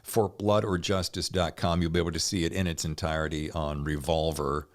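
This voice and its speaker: Very deep-voiced man in his sixties. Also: flat delivery, brisk. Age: 60s. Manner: jokey, informal. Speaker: podcast host. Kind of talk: casual interview chat.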